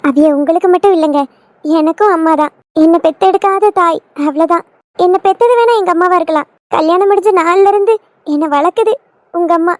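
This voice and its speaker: A woman's voice: extremely high pitch, fairly quick, darkish tone, no room sound. A woman playing an affectionate young daughter-in-law in a family skit, gushing and emotional, with a southern Tamil Nadu accent. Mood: devoted, gushing.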